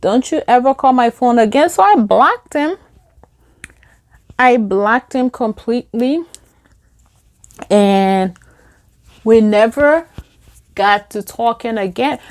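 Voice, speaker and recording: Young Liberian-accented woman vlogger, casual storytime, fairly high voice, animated delivery. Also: takes it slowly, long pauses. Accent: Liberian English. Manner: animated, acts out quoted lines in a higher voice. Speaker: beauty vlogger. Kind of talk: casual storytime while doing makeup.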